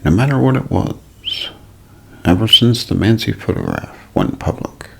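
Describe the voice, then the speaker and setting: A man with a deep voice narrates in the manner of an unsolved-mysteries show, made for ASMR. His delivery is slow and deliberate, rising and falling dramatically. The tone is thick with gravel and husk, often slipping toward a whisper. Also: wildly swooping pitch, noisy background. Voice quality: gravelly, husky, breaking into whisper.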